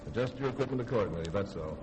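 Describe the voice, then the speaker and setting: An actor playing a steady spaceship commander in a 1950s radio drama, brisk and authoritative. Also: male voice, lowish voice, lightly acted, low-quality recording. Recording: faintly hissy 1950s radio recording.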